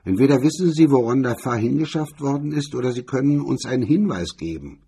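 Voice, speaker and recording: A man voicing a husky, cold tough guy. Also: mid-range voice, expressive, dark tone, voice pushed up. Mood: cool menace. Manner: cold, unfriendly, deliberately slow threats. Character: cold, menacing tough man interrogating a captive.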